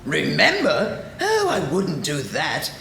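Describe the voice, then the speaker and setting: A man's voice: a little high, wildly swooping pitch, slow, vocal fry, exaggerated voice. A man playing a gleeful, menacing mad villain clown in a radio drama, grandiose and taunting, given to cackling. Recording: echoey amateur recording under loud music.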